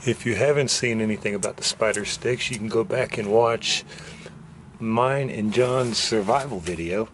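A man's voice: lowish voice, normal speed, a little hiss or hum. A man vlogging as he explores an abandoned old house, chatting and joking as he goes, recorded on a handheld camera. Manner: chatty, joking, wondering aloud. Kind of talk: casual exploring commentary. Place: abandoned house, indoors and overgrown yard.

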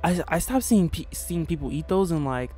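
A man's voice: fairly high pitch, lively intonation, moderate pace, darkish tone, faint music behind. A young man casually reacting to a rap song from his small bedroom, slangy and laid-back, swearing often. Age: young adult. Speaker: music reaction youtuber. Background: hip hop track playing.

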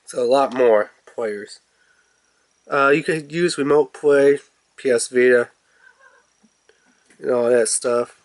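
A man with a stuffy, congested nose talks through a video game unboxing in a loose, rambling way, leaning on fillers like "so" and "you know." His voice sits at an ordinary middle pitch. He is recorded indoors at home on a phone or camera held above a tabletop. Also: slow pace, pauses often. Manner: rambling, filler-heavy, talks to camera while handling the case. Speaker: gaming channel host. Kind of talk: casual unboxing review.

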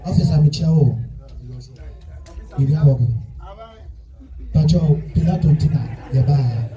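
A man acting as a villager in an outdoor stage skit. His voice is very dark and fairly high, coming through an echoing PA from a distance. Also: unhurried, soft background music, close to an everyday voice. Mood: earnest. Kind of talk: live outdoor community stage drama. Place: open palace courtyard, outdoors. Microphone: handheld microphone, heard from camera distance. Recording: echoey, distant handheld mic over PA.

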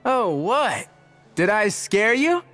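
Brash teen boy for a game: high, animated, impatient. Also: slow pace, faint music behind, heavily acted. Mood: cheeky. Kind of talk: video game voice acting.

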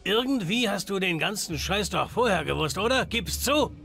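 Gravelly, embittered game enforcer. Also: male voice, high voice, lively intonation, normal speed, sharp tone, exaggerated voice. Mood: gruff, determined. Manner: gruff, blunt, cursing. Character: embittered, foul-mouthed betrayed male enforcer, loyal comrade. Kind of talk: video game voice acting. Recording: background music. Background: game music.

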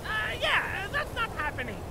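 Woman playing a high-voiced, wry pilgrim companion. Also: sing-song, moderate pace, crowd noise, noisy background. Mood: wry, awkward. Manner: wry, pedantic, rambling. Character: chatty, sardonic pilgrim companion, obsessive step-counter. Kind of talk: video game character dialogue.

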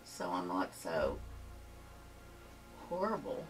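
A soft-spoken woman with a Pittsburgh accent, teaching a step-by-step painting tutorial in her home studio and talking unhurriedly as she works. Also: very deep voice, huge pitch swings. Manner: unhurried, murmurs to herself while painting. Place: home art studio, indoors. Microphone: camera mic from behind, far off. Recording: distant camera mic with faint music.

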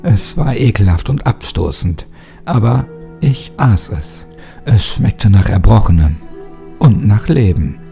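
A man's voice: on the low side, animated, a little slow, creaky, warm tone. A man giving a scripted, dramatic first-person narration of a horror story. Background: music.

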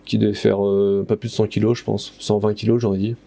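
A man's voice: lowish voice, little pitch movement, brisk, creaky, mellow. A man in his thirties, a plasterer, narrating his stone-wall renovation casually, with many fillers and self-corrections, recorded on a head-mounted camera in a stone room. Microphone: head-mounted action camera.

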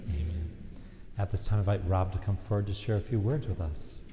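Priest guy, mellow lowish voice, slow solemn prayer, big echoey church. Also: a little hiss or hum. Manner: measured and solemn. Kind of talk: liturgical prayer. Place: large church. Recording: long church reverb.

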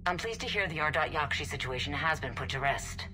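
A woman's voice: low-pitched, animated, brisk, over music. A woman playing a poised, guarded alien councilor in a video game, speaking formally and diplomatically. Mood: cool, official.

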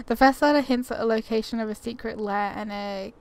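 A woman's voice: a little high, fairly even intonation, moderate pace, darkish tone, clean tone. A woman doing casual gameplay commentary and reading quest text aloud, chatty, informal and self-deprecating. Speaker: gaming YouTuber. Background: video game ambience.

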